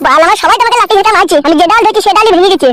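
A man's voice: extremely high pitch, racing, heavy background noise. A man voicing a self-pitying, aggrieved village underling in a comedy cartoon, whining. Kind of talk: amateur comedy cartoon dialogue.

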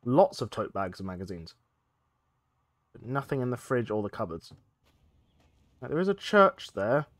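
A man's voice: medium pitch, sing-song, moderate pace, pauses often. A man, a bit stuffed up from a cold, chatting casually as he plays a game. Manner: chatty, thinks aloud while playing. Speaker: let's play gaming host. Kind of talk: casual gameplay commentary.

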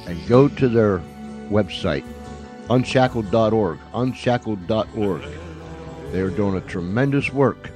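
A man with a fairly low voice hosts a radio show. He talks casually and folksily straight to his listeners, repeating phrases for emphasis. Light music plays behind him. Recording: music under the voice.

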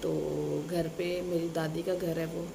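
A woman's voice: fairly low pitch, monotone, unhurried, background noise. A young woman chatting to viewers in a casual home vlog, filmed on a phone at arm's length. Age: young adult. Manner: chatty, addresses viewers directly. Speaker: vlogger and Sunday school teacher. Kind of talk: casual vlog chat to camera. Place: small room at home, indoors. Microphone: phone camera at arm's length.

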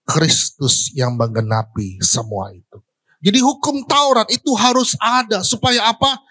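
A middle-aged pastor preaches from a church stage into a handheld mic. His voice sits high for a man and leaps between bright emphatic peaks and slow, low, drawn-out phrases, and he keeps throwing questions back at the congregation. He takes his time over each phrase. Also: husky. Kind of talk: sermon. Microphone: handheld microphone.

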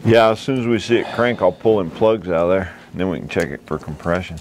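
Older man with a lowish practical voice. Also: quick pace, a little hiss or hum. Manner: calm, practical, gives instructions. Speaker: father and co-host mechanic. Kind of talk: casual shop talk. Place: outdoors in the desert by an old truck.

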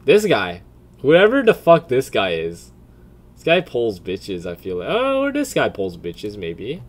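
Guy doing casual commentary, slow paced, lots of swearing, blunt, pitch goes up and down a lot. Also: wildly swooping pitch. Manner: crude, profane, blunt. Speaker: video creator.

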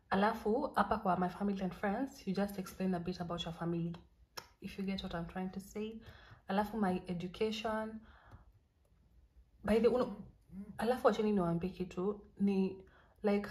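A woman's voice: medium pitch, darkish tone. Chatty Kenyan woman vlogger. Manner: chatty, encouraging, switches between languages. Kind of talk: casual tutorial talk mixing Swahili and English.

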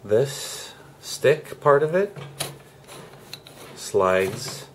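A man giving a casual hands-on how-to, speaking haltingly in short phrases with pauses mid-sentence, as host of a DIY tutorial. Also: medium pitch, very animated, a little hiss or hum.

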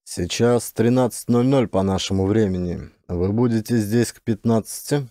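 Decisive military research officer acted by a man, lowish mellow voice, firm, sci-fi audiobook. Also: normal speed, no echo, studio quality, natural voice. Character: sober, decisive male major, research officer. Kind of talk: dramatized science fiction audiobook.

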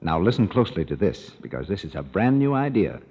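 A man's voice: deep voice, big pitch swings, fast, breathy edge. A man reading a cheerful sponsor message as a radio announcer, persuasive and instructive. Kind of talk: 1930s radio commercial reading. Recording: muffled 1930s radio broadcast in a hall.

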